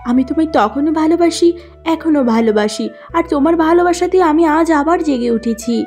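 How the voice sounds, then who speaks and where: A woman voicing a gentle, forgiving young princess in a cartoon fairy tale. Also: sits high, a little fast, soft background music, pitched above the performer's own voice, natural voice. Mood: tender, forgiving.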